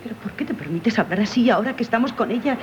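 Actress as an earnest, animated young older sister. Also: female voice, a little high, rapid-fire, close to an everyday voice. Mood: scandalised. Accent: Castilian Spanish. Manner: reproving, earnest, polite. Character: gentle, proper young older sister in a stage drama. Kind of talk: 1970s Spanish radio theatre acting. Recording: muffled, noisy 1970s radio broadcast.

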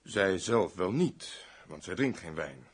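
An actor playing a wordy, servile older steward of a noble house in a radio play, with a husky voice. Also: male voice, low voice, lively intonation, slow pace, exaggerated voice. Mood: aggrieved, boastful. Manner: wordy, long-winded, obsequious.

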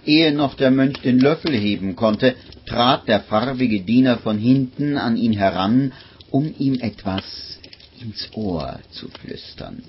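A man narrating a scripted radio play as a measured, wry storyteller. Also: mid-range voice. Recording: muffled old radio recording, faint noise.

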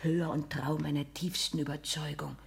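An excitable woman confidante in a sixties German radio drama. She speaks with theatrical urgency and romantic rapture, meddling and intimate, slipping into breathy whispers. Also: sits low, normal speed. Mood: solemn, insistent. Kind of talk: 1960s German radio play acting.

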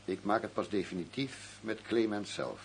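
Low-voiced, curt arms dealer. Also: male voice, moderate pace, creaky. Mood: hard, condescending. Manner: curt, commanding, condescending. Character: shrewd, stubborn male arms dealer, coolly condescending negotiator. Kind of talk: crime radio drama acting. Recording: faint noise and hum.

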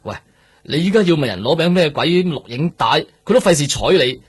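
A man acting in a Cantonese radio drama as an exasperated, grumbling middle-aged police superior, with a husky voice and Hong Kong accent. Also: a little high, lively intonation, a little fast.

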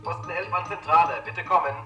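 An actor playing a dutiful, slow-witted policeman in a satirical radio play, reporting formally through a radio or phone filter. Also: high-pitched, fairly even intonation, loud music behind the voice. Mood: dutiful, obtuse. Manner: formal, literal, official reporting. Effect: police radio and telephone filter.

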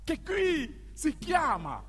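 A man performing as an ironic storyteller, delivering a theatrical monologue with emphatic, declamatory phrasing and dramatic pauses. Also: very high-pitched, huge pitch swings, fairly bright tone, a little hiss or hum, exaggerated voice. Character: male storyteller, ironic, emphatic, recounting local history. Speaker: stage narrator. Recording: long reverberant hall.

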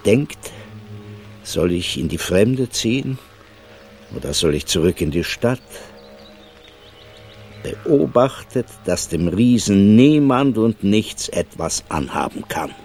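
A man narrating a radio play as a storyteller, deliberate, pausing phrase by phrase. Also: fairly low pitch, expressive, darkish tone, faint music behind.

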